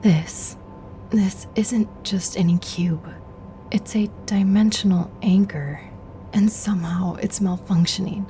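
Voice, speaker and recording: An actress playing a frightened, mistrustful armed stranger with a halting, edgy delivery in a sci-fi horror audio drama. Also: female voice, fairly low pitch, mellow, a little hiss or hum. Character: guarded, frightened masked woman with gun, code-named stranger.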